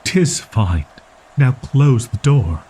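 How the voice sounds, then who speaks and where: A man's voice: mid-range voice, lively intonation, slow, dark tone, breathy edge. A man voicing a proud, easily flustered young wolf-boy in a roleplay audio drama, with stilted archaic diction and defensive stammering. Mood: stern, threatening. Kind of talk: roleplay audio drama monologue addressed to listener.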